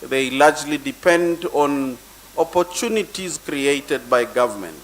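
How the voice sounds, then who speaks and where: A man, a local councillor, giving an earnest formal welcome speech in short measured phrases. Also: mid-range voice, lively intonation, fairly bright tone, rough recording.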